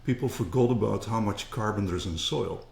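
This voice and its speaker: Middle-aged dutch guy, gravelly voice, earnest interview chat, echoey room. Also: on the low side, fairly quick. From Netherlands. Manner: earnest, repeats words when starting a thought. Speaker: guest, company co-founder.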